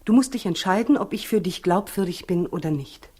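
Young expectant wife in an old 60s german radio drama, soft dark breathy voice, pleading but wont let go. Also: female voice, on the low side, animated, fairly quick. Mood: firm, challenging. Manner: earnest, pleading, insistent. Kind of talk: 1960s German radio play acting.

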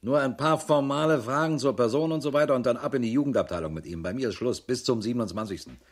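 An actor in a radio crime drama playing a sardonic, world-weary police chief in his fifties with a husky voice, grumbling as he talks. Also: mid-range voice, fast talker, natural voice. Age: 50s.

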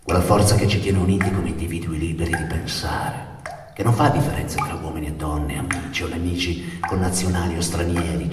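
Male actor as grave narrator, low voice, halting intense monologue, theatre delivery, noisy echoing hall. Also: lightly acted. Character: grave, brooding male narrator of a migrant's journey. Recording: echoey hall from far off, noisy.

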